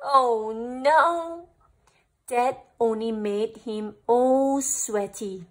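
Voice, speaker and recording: A woman storyteller reading a picture book aloud for children, with animated, deliberate phrasing. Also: on the high side.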